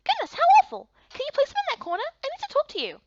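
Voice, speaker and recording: Woman as bubbly female fairy, fantasy game, very high voice, extremely lively, slightly muffled. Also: quick pace, background noise, exaggerated voice. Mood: explanatory. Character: bubbly, chatty, kindly female fairy quartermaster. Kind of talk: voiced playthrough of fantasy visual novel.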